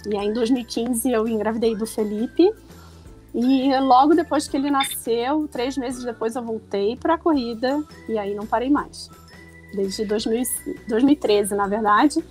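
A woman in her forties from Rio de Janeiro with a Carioca accent, a guest on a casual podcast, chatty and quick to laugh. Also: a little high, darkish tone, faint music behind. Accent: Carioca Brazilian Portuguese. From Rio de Janeiro, Brazil. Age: 40s. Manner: chatty, laughing easily, many restarts.

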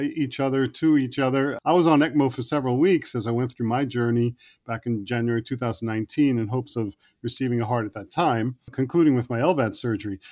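A man's voice: mid-range voice, darkish tone. Male interview host, middle-register voice, conversational delivery with some prepared phrasing. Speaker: podcast host interviewing a guest.

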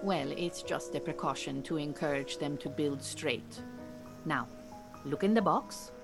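A woman playing a calm, capable Iranian beekeeping teacher in a naturalistic audio drama, giving measured, reassuring instruction. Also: sits low, vocal fry, music in the background. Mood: calm, instructing. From Iran.

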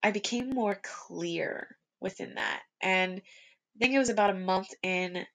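Earnest young woman with a gravelly edge. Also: mid-range voice, measured pace, slight background noise. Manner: earnest, self-reflective, speaks in rhetorical questions. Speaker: podcast host. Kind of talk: reflective solo podcast monologue.

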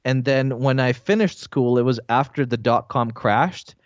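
A man's voice: medium pitch, unhurried, no echo. Man in his forties, from Bosnia, croatian native, easygoing storyteller, dull dry lo-fi sound. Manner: relaxed storytelling with fillers. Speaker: guest, entrepreneur. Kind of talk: casual interview chat.

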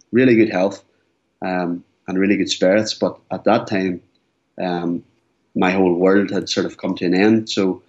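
Low-voiced earnest man in his forties. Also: darkish tone. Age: 40s. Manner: earnest, repeats words as he speaks. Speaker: guest. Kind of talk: candid interview. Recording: dull lo-fi sound.

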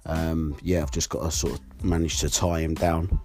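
Hoarse low-voiced man. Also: flat delivery, normal speed, darkish tone. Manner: candid, rambling with fillers. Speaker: podcast host. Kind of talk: solo podcast monologue.

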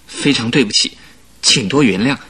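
An actor in a radio drama playing a vain young English aristocrat, affected and pompous but good-natured underneath. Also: male voice, medium pitch, moderate pace, bright, forceful tone, slightly rough. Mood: contrite. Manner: affected, pompous, easily flustered. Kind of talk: Chinese radio drama acting.

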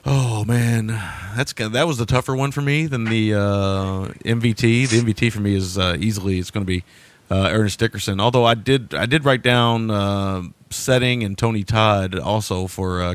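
Low-voiced easygoing podcast man, dry sound. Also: fairly even intonation, normal speed, dry recording. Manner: easygoing, thinks aloud while talking. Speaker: podcast co-host. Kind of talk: casual chat reviewing movies.

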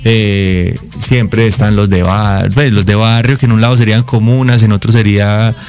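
A man's voice: on the low side, steady tone, moderate pace, darkish tone. A man from Colombia with a Colombian accent, a guest in a casual interview who explains things at length, listing examples.

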